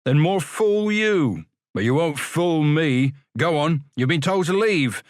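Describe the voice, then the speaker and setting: Give me a man doing a gruff porter who growls, in a dry close recording. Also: medium pitch, big pitch swings, unhurried, no echo. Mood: surly. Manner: growling, dismissive. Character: gruff male workhouse porter, surly.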